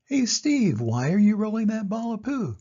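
A man squeaking as curious storybook animals. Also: sing-song, normal speed, mellow, muffled recording. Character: curious high-voiced animals asking questions.

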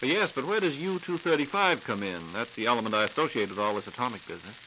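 A brisk American man hosts in scripted 1940s radio style. His voice is forward and bright, at a medium pitch, over the light hiss of an old transcription disc. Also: animated, rough recording. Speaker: radio host.

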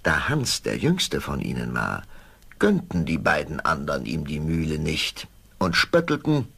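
A man narrating a scripted fairy tale for an audio play in a gravelly voice. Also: extremely low pitch, wildly swooping pitch, unhurried, fairly bright tone.

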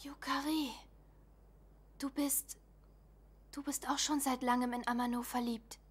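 A woman's voice: high voice, flat delivery, a little slow, husky. An actress playing a thoughtful, puzzled teenage schoolgirl in an anime audio drama. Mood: downcast.

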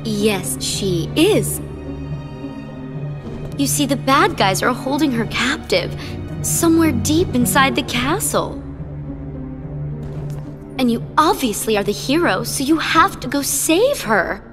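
A woman's voice: high voice, expressive, slow pace, long pauses, in a large hall. An actress voicing a sadistic young female villain in a game, taunting with a mocking sing-song manner. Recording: game cutscene with loud music.

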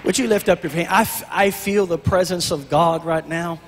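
A middle-aged man preaching on a church stage into a handheld mic, with a shouting, half-sung preacher cadence that drops to quiet prayer. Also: high-pitched, normal speed. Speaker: pastor. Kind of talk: Pentecostal sermon and prayer. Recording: long church reverb, music behind.

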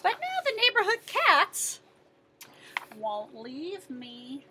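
A middle-aged American woman reads a story to young children in a high, bright voice. She takes her time, stressing words expressively and posing questions as a teacher would. Clear ambient noise sits behind her. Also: slow pace. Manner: expressive, emphatic, asks and answers own questions. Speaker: teacher-librarian reading to children. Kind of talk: animated picture-book read-aloud with questions to young listeners.

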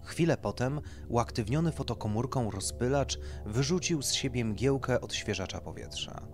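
A man's voice: mid-range voice, lively intonation, moderate pace, faint music behind. A man reading a satirical horror story as scripted narration. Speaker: narrator, audiobook reader.

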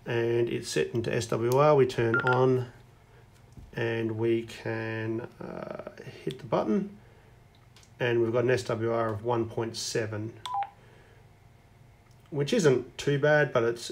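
Aussie bloke doing a casual hobby demo, gravelly voice with lots of fry. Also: male voice, medium pitch. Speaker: hobbyist radio reviewer demonstrating gear. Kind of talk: casual hands-on demonstration. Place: indoors at a wooden tabletop.

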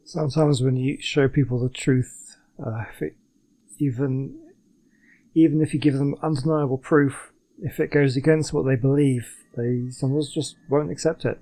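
A man's voice: medium pitch, fairly even intonation, moderate pace, warm tone, low-quality recording. A man giving casual gameplay commentary, thinking aloud as he plays. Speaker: gaming YouTuber.

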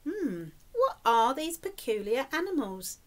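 A middle-aged woman reading a picture book aloud to young children, expressively and deliberately, prompting them with questions. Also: sits high. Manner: animated, slow and deliberate, prompting young listeners. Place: indoors, small room with decorated wall.

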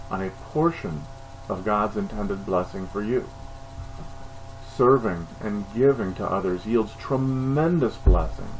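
A man's voice: mid-range voice, darkish tone, low-quality recording. Pastor guy preaching from his couch into a webcam, earnest, reads scripture with emphasis, slight hum behind. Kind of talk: sermon. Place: living room, seated on a couch, re-recorded at home. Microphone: webcam at close range.

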